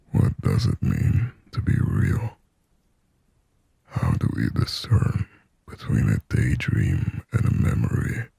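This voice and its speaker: A man playing a lonely, yearning sleep paralysis demon in a slow, breathy, raspy ASMR whisper, close to the microphone. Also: extremely low pitch, warm tone, no echo, exaggerated voice. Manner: slow, brooding, pleading, poetic. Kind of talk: whispered ASMR roleplay monologue.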